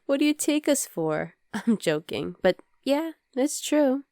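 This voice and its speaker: A woman playing an affectionate, playful young demon girlfriend in an intimate ASMR roleplay, speaking softly to the listener. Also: sits high, big pitch swings, measured pace, no room sound, clean studio sound, exaggerated voice. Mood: amused.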